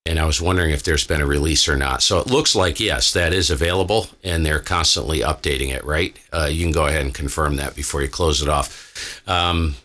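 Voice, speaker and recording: Deep, bright-voiced American man, easygoing. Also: brisk, hardly any pauses. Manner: easygoing, colloquial. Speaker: amateur radio operator and channel host. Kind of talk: casual chat over ham radio.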